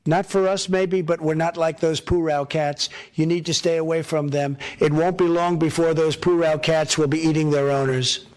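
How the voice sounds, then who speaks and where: A man's voice: on the high side, flat delivery, moderate pace, few pauses, close to an everyday voice. Man acting a pompous, boastful cat politician. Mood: alarmist. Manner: pompous, repetitive political oratory. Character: boastful, paranoid male cat demagogue giving political speeches. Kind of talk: satirical speech in an audio story.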